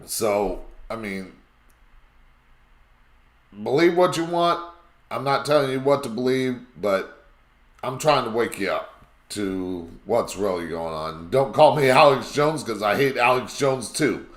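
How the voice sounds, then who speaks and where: A man with a raspy voice hosting a live call-in talk show, talking in a rambling, emphatic way. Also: medium pitch, expressive, unhurried, long pauses, roomy sound.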